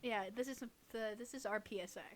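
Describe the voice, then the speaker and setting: A woman's voice: fairly high pitch, brisk, slight background noise. A young woman co-hosting a casual chat, reading creepy stories aloud in a giggly, teasing, irreverent way. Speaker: co-host reading listener stories.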